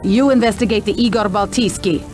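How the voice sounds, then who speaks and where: A woman's voice: mid-range voice, fairly quick, darkish tone, lightly acted. An actress playing a cool, businesslike female secret agent in a video game, speaking in a formal, clipped manner. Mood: cool urgency. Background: music.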